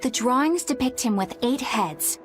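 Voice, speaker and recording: Thoughtful, high-voiced young woman. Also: lively intonation, normal speed. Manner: thoughtful, explanatory. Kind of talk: video game cutscene voice acting. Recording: game music behind voice.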